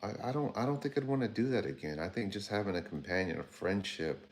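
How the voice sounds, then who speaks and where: A man's voice: lowish voice, lively intonation, quick pace. A man in his fifties hosting a casual livestream, rambling conversationally and talking to viewers by name. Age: 50s. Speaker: livestream host. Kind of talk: casual livestream chat reading viewer comments.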